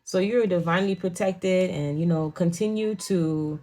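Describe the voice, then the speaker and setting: A woman's voice: on the low side, fairly even intonation, moderate pace, dark tone. A young woman with a Haitian Creole accent, a native Creole speaker, giving a casual tarot reading in blunt, profane talk full of "you know what I'm saying". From Haitian home. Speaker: tarot reader.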